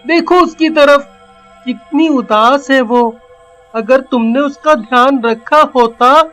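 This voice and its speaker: A woman voicing a cheeky, plucky poor young village boy in a cartoon, with earnest, drawn-out delivery. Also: high voice. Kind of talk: Hindi cartoon voice acting.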